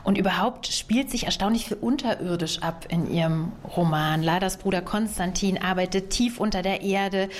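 A woman interviewer asking a novelist prepared questions in a fluent, measured way. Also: mid-range voice, a little hiss or hum.

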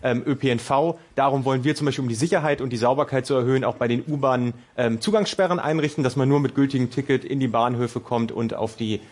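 A man's voice: medium pitch, fast, hardly any pauses, darkish tone, a little hiss or hum. A man delivering a party statement in a debate, with frequent hesitant fillers. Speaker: politician.

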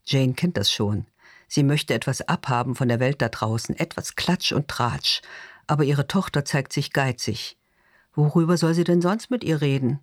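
A man's voice: medium pitch, normal speed, no echo, clean studio sound. A man narrating literary fiction in a scripted audiobook reading style.

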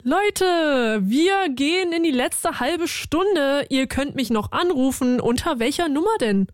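A woman's voice: sits high, slow pace, no room sound, studio quality. A young woman hosting a casual radio call-in show, chatty and slangy with her callers. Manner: chatty, lively, slangy and warm toward callers. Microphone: studio mic.

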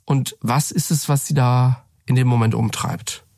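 Creaky-voiced male studio interviewer. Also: medium pitch, steady tone, unhurried, a little mellow, dry recording. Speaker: host, interviewer. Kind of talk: conversational interview questions.

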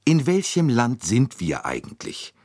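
A man voicing a loud, complaining older American woman traveler in an audiobook reading. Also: huge pitch swings, unhurried, no room sound, clean studio sound, exaggerated voice. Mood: bitter. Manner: indignant, shrill, complaining.

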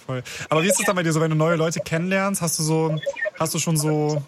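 Clear background noise sits around a young man. He co-hosts in a casual, colloquial way, readily affirming what he hears. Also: fairly high pitch, steady tone, fast talker. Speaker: radio show co-host. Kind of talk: casual youthful chat. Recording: clearly noisy with clicks.

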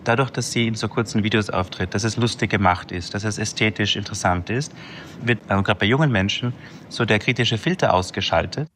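Man explaining thoughtfully in an interview, low voice, faint background hum, a bit far from mic. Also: fairly quick, fairly bright tone. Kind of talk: interview statement.

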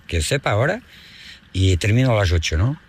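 A man's voice: on the low side, very animated, a little fast, slightly rough. A middle-aged Portuguese boatman and fisherman with a Portuguese accent. He is a native Portuguese speaker who chats casually and often tags his phrases with a questioning "no?". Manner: chatty, ends phrases with a questioning "no?". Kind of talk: casual interview on a boat.

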